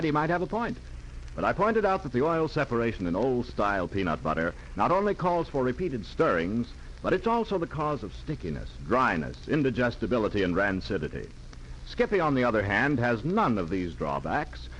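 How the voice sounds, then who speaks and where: A man announcing as a polished, folksy 1940s radio pitchman. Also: a bit bright, slight background noise, low-quality recording. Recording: vintage 1940s radio transcription.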